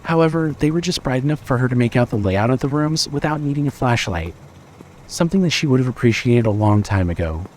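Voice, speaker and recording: A man's voice: medium pitch, animated, quick pace, darkish tone, rough recording. Man narrating a dramatic story over noise.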